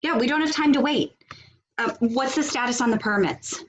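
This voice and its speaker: A woman acting a blunt, sardonic protest organizer in a stage comedy over a video call, with a brisk, dry, bossy delivery. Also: high-pitched, lightly acted, rough recording. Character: blunt, sardonic female leader of a protest cell.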